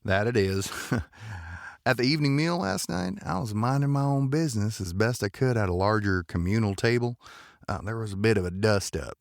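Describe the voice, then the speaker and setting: An actor playing a courteous, bashful cowboy gentleman in an audio drama, with folksy phrasing and polite hesitations. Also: lowish voice, normal speed, creaky, dry recording, studio quality. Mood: hesitant, friendly.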